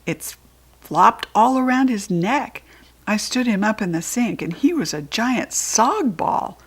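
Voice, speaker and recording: A woman with an American accent reading a children's chapter book aloud. She jumps into a high, excited voice for the child's quoted lines and drops to soft, breathy, near-whispered asides. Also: slow pace. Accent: General American.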